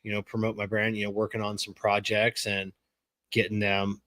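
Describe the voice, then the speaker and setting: A man's voice: lowish voice, monotone, moderate pace, bright, forceful tone, no room sound. A man in his forties co-hosting a podcast, chatting in an easygoing way with stammered restarts and a lot of "you know". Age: 40s. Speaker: podcast co-host. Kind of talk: casual chat.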